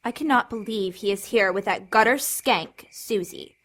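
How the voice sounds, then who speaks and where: A woman's voice: mid-range voice, normal speed, a bit bright, close to an everyday voice. A woman playing an angry, hurt, domineering teenage girl in an audio drama. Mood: angry, demanding. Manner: bullying, hot-tempered, demanding.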